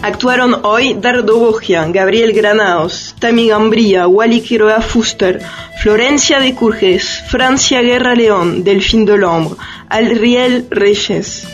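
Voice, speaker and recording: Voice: medium pitch, slow pace, faint music behind. An announcer reading scripted cast and crew credits for a radio programme.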